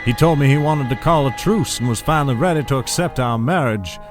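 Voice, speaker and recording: An actor playing a controlling husband in an audio drama, soothing and measured with grave menace. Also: male voice, medium pitch, soft background music, natural voice. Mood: grave confessing. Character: controlling, calmly menacing husband keeping dark secret.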